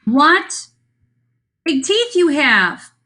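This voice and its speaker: A woman voicing an innocent little girl in a fairy tale, with a deliberate, wondering delivery. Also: sits high, big pitch swings, pushed and bright, voice pushed up, heavily acted.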